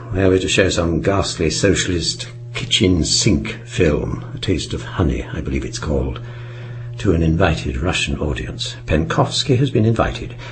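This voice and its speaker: An actor playing a commanding, dryly persuasive British intelligence chief in a radio drama, with a British accent and a clipped, authoritative, disdainful manner. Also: male voice, sits low, background noise.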